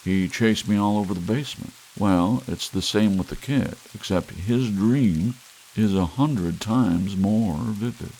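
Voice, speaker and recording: A man's voice: lowish voice, measured pace, mellow, slight background noise, low-quality recording. A man voicing an earnest man pressing a friend about dreams and pain, urgent and probing.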